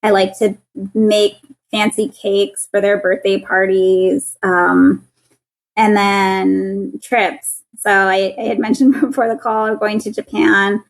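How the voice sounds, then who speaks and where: A woman in her thirties whose voice sounds young, speaking at an ordinary middle pitch. She talks in an earnest, conversational way as an interview guest, often laughing and restarting her words. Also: flat delivery, slow, poor audio. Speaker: guest, patent attorney.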